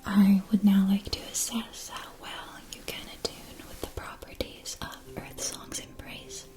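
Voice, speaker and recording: A woman performing a scripted ASMR fantasy roleplay as a wand seller, speaking softly and deliberately with formal shopkeeper patter. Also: mid-range voice, flat delivery.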